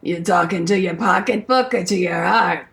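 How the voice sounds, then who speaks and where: An actress playing a wary, tough records insider in a radio play, with a blunt, folksy, wry manner. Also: female voice, lowish voice, normal speed, vocal fry, a bit bright. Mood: wry, hesitant.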